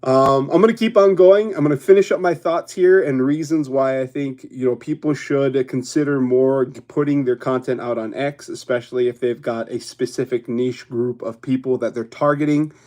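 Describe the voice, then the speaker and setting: A man's voice: medium pitch, steady tone, normal speed, few pauses, a little mellow. Chatty man rambling on a livestream. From Hawaii. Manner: rambling, chatty, laughs often. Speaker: YouTube livestream host. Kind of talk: casual livestream chat with viewers.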